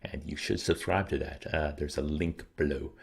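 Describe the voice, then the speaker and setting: A man hosting his own channel, talking casually and thoughtfully to his viewers, often pausing to weigh his words, his throat a little dry and scratchy. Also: very deep voice, steady tone, vocal fry, breathy edge. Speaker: channel host.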